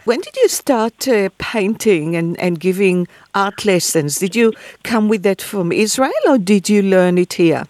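A woman hosting a radio interview, asking questions in a measured, clear way. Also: medium pitch, animated, a little mellow, no echo. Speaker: radio host.

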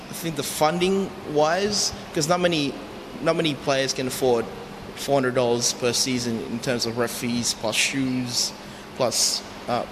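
A man from the Philippines giving unscripted, halting interview answers as a youth coach. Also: mid-range voice. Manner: hesitant, halting phrasing. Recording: long reverb, clear background noise.

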